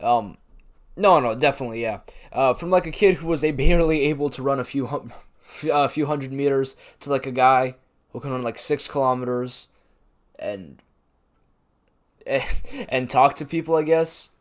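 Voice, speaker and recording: A man's voice: mid-range voice, moderate pace, pauses often. A young man from Canada chatting casually over gameplay, rambling with lots of fillers and self-deprecating asides. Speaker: YouTuber. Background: background music.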